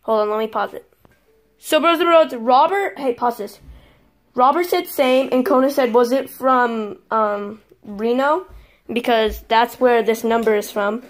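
A teenage girl chatting casually and excitedly with friends as she films prank calls in a bedroom. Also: a little high, measured pace. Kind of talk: casual chat among friends. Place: small bedroom, indoors. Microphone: handheld phone camera.

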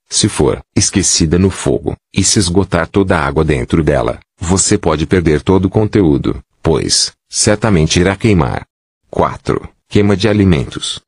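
A man giving kitchen safety tips in a steady, instructive scripted voice-over. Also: sits low, breathy edge, no echo, clean studio sound.

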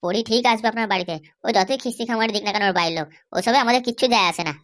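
Woman playing a cocky, rapid-fire cartoon schemer. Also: mid-range voice, fairly bright tone, natural voice. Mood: confident. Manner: boastful, threatening. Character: cocky, defiant schemer and ally in a comedy cartoon. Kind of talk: exaggerated cartoon dialogue.

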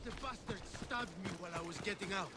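An actor playing a wounded, desperate bandit in a video game, pained and pleading. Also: male voice, sits high, fast talker, close to an everyday voice. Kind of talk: video game background dialogue. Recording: game dialogue under loud ambience noise.

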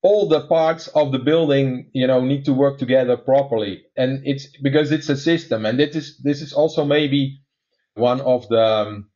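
A man with a Dutch accent, a guest expert explaining technical systems in conversation, pausing often with fillers. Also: mid-range voice, darkish tone, lo-fi sound. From Netherlands. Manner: many "uh" fillers, measured explaining.

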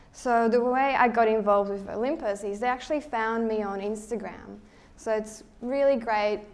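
A young woman from Slovakia with a Slovak accent, speaking as a guest presenter to a live audience in a lecture hall, friendly and relaxed, restarting sentences as she thinks. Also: medium pitch. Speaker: guest speaker, underwater photographer. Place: lecture hall at a photo show.

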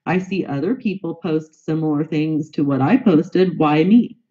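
A woman's voice: sits low, moderate pace, mellow, highs cut off. A woman with a bad cold, answering interview questions as a guest in an indignant, repetitive way. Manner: indignant, repeats herself. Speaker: guest, news reporter. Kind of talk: interview answers, aggrieved complaint.

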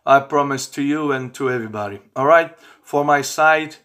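A man in his fifties, not a native English speaker, talking informally to camera in halting, repetitive phrasing. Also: medium pitch, a bit bright. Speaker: amateur radio operator and vlogger. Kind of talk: informal video message to camera. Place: small bedroom, indoors.

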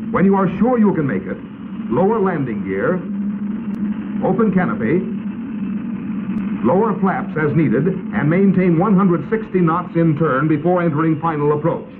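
An American man narrating a 1950s instructional film in a crisp, authoritative, instructional manner. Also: fairly high pitch, lively intonation, normal speed, long pauses. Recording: tinny, noisy 1950s film soundtrack.

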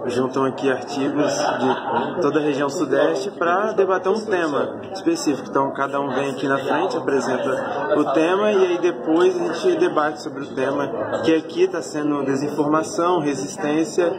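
A man's voice: a little high, normal speed, low-quality recording. A Brazilian man in his twenties, a student presenter, casually explaining things in an interview. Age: 20s. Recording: loud crowd chatter behind.

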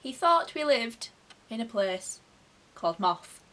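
A woman's voice: a little high, very animated, slow, sharp tone. Chatty Yorkshire woman vlogging. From Yorkshire, England. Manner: chatty, self-mocking, playful. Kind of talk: casual comic chat to camera. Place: bedroom, indoors.

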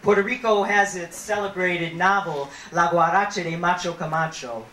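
A woman poet performing spoken word live, with a deliberate, theatrical delivery, on a handheld microphone in a small venue. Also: on the low side, a bit bright, a little hiss or hum. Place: small brick-walled performance venue, indoors. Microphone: handheld stage microphone through a PA. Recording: echoey amplified 1990s live camcorder recording.

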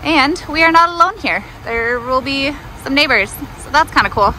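A young woman talks in a bright, chatty vlog manner, walking viewers through her stop. Her voice sits a little high. Steady outdoor and engine noise runs under the camera mic. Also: normal speed, low-quality recording. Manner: upbeat, chatty, explaining. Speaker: RV travel vlogger. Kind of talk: casual vlog chat. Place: outdoors at an RV park, then inside a pickup truck.

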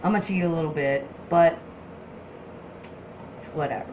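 A young woman talks in a friendly, instructive way as she cooks, her voice sitting on the lower side. She is picked up by a camera on the counter in a home kitchen, with a faint hum of room noise behind her. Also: moderate pace, darkish tone, low-quality recording. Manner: chatty, friendly, instructive with small restarts. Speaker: cooking vlogger. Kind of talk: casual cook-along talk to camera. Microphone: camera on counter a few feet away.

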